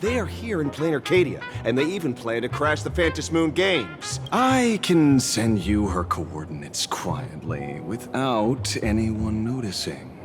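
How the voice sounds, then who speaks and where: An actor voicing a wily older agency boss in a video game, smooth-talking and theatrical. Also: male voice, medium pitch, big pitch swings, a little slow, hardly any pauses. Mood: scheming, smug. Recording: game music underneath.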